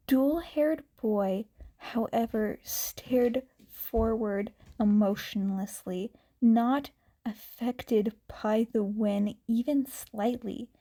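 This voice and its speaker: A woman reading a fan fiction story aloud in a quiet, calm way. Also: a little high. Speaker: podfic reader.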